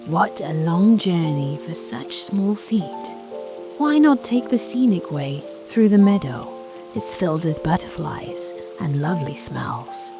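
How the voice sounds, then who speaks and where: A woman's voice: lowish voice, big pitch swings, exaggerated voice. A woman voicing a sly, predatory wolf with a falsely sweet, coaxing manner in a bedtime storybook. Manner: wheedling, drawn-out, mock-kindly. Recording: soft music and rain behind.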